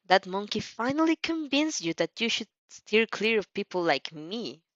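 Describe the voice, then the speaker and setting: A woman's voice: medium pitch, sing-song, moderate pace, dry recording, muffled recording, lightly acted. A woman voicing a bitter, insecure teenage boy who is defensive and mocking, in an audio fanfiction reading. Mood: venomous. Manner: mocking, muttered, venomous.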